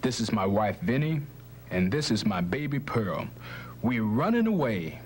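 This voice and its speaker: A woman reading a storybook aloud, voicing a grown man, a runaway father hiding in a barn, in a low, steady voice. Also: measured pace, a little hiss or hum, full character voice. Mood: earnest.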